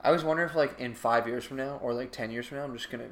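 A man's voice: mid-range voice, racing, fairly bright tone. Echoey casual young man, swearing freely. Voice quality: voice cracks now and then. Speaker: podcast host. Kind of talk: casual profane banter with a friend. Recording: echoey room with faint background noise.

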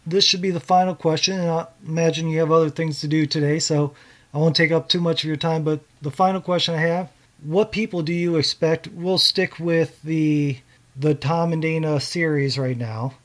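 A man's voice: fairly high pitch, fairly even intonation, normal speed. A man hosting a podcast, interviewing a guest author in a casual, conversational way.

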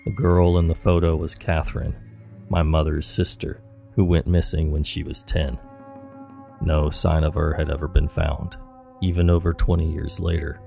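Super deep guy reading creepy stories, gravelly, dark tone, soft music under it. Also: little pitch movement, normal speed. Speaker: horror story narrator. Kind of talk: scripted narration of reader-submitted scary stories.

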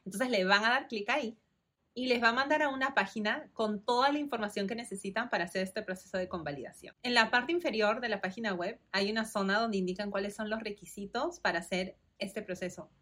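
A woman's voice: mid-range voice, a little fast, sharp tone. Peruvian woman explaining step by step. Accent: Peruvian Spanish. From Peru. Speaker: podcast host. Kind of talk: informative solo podcast.

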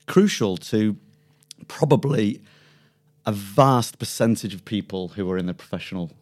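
A man's voice: fairly low pitch, animated, moderate pace, a little mellow. A man hosting a podcast interview, friendly and conversational, sometimes restarting his phrases. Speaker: podcast host.

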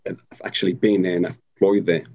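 A man's voice: low voice, level tone, quick pace, mellow. A man from Africa, a guest telling his story in a casual interview, hesitant with many ums and restarts. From South Africa. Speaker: guest, army veteran. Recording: dull lo-fi sound.

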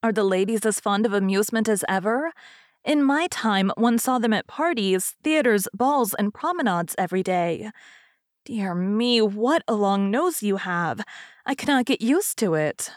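A woman's voice: mid-range voice, animated, a little slow, no echo, studio quality, close to an everyday voice. A woman voicing a vain, chatty old fairy princess in a storybook, gushing and rambling on tactlessly but good-naturedly. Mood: nosy, chatty.

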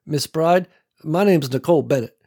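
A man voicing a steady, brisk young woman who leads a group over the radio. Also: a little high, husky, voice pushed up. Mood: alert.

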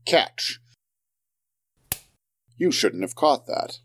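Guy doing brainy pedantic hero, calm and dry, medium pitch. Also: extremely slow, full character voice. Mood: analytical, calm. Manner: precise, dry. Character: pedantic, composed male scientist-type hero.

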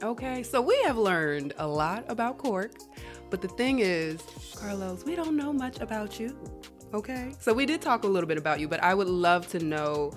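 A woman's voice: medium pitch, animated, moderate pace, faint music behind. A woman hosting a conversational interview in an informal way, often checking in with short tag questions. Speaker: podcast host, interviewer.

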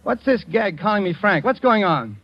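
An actor playing a brisk, insistent, puzzled male engineer in 1940s radio drama style. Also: high voice, sing-song, dry recording, full character voice. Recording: dull, hissy 1940s radio recording.

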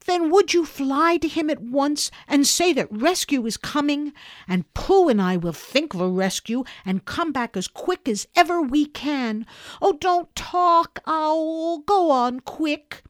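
A woman voicing an eager, caring young boy in a storybook reading, his speech high and earnest. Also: animated, slow pace, no room sound, clean studio sound. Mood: urgent.

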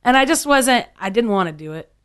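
A woman's voice: on the high side, expressive, brisk, smooth. A woman of thirty-eight from California, a bartender chatting casually in an interview, with loose phrasing full of "like" and "um". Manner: chatty, loose, many fillers like "like" and "um". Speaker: bartender, guest.

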